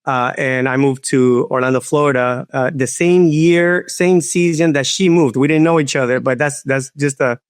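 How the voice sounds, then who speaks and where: A man in his forties from Puerto Rico, telling his story as a podcast guest in a halting way, with many restarts. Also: mid-range voice, clean tone, no room sound, clean studio sound. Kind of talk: personal testimony interview.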